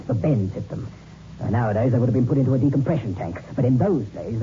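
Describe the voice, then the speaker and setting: An actor playing an impatient sceptic who is scornful of superstition, brusque and lecturing, in 1960s radio drama style. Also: male voice, lowish voice, dark tone, close to an everyday voice. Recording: muffled, hissy old radio recording.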